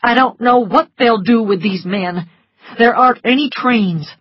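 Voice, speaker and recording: A woman reading a story aloud, voicing an exhausted, gruff middle-aged male doctor who speaks slowly and curtly. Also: fairly high pitch, dry recording, muffled recording, pitched above the performer's own voice. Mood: weary. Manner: slow, weary, curt.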